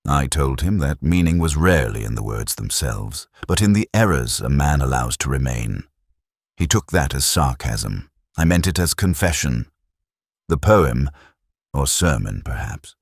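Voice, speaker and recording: A man with an extremely low voice narrates a detective story in the first person. His delivery is measured and solemn, though the pitch rises and falls in a lively way. The recording is dry and close, with a literary, scripted feel. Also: no room sound. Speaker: narrator in the part of a police inspector.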